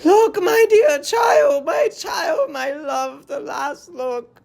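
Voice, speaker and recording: Woman doing a scared captive trojan woman, high trembling voice, desperate and pleading, old greek tragedy style. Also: lively intonation, takes it slowly, gravelly, a little mellow, raised voice, heavily acted. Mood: wild anguish. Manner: fervent, trembling, theatrical. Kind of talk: classical verse tragedy, declaimed.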